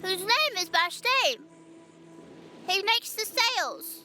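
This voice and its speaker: A woman's voice: very high-pitched, big pitch swings, takes it slowly, sharp tone, soft background music, heavily acted. A woman playing a spirited, blunt and talkative young child in an audio adventure drama. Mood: cheerful, chatty. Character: spirited, chatty young child on a pirate ship.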